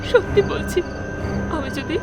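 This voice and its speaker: A woman voicing a frightened young village mother in an animated horror cartoon, speaking in a fearful, pleading way. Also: measured pace, natural voice. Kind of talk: animated horror story dub. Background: loud background music.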